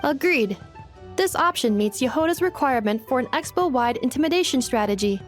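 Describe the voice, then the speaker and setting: High-voiced, formal robot girl. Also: expressive, a little fast, faint music behind. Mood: analytical, matter-of-fact. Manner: formal, clipped computer-like phrasing. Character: polite female robot girl, analytical and literal. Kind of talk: video game voice acting.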